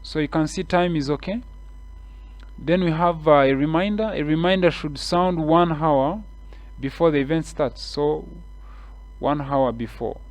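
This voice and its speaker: A man with a Kenyan English accent, guiding viewers step by step through a screen-share tutorial with relaxed, repeated explanations and frequent fillers. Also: fairly high pitch, unhurried, no echo.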